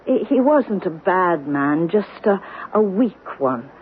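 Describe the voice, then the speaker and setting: A blunt, brooding older Irishwoman, speaks in old radio drama style. Her low-fidelity broadcast sound is tinny and narrow, with hiss behind her. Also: very animated, takes it slowly. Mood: grim.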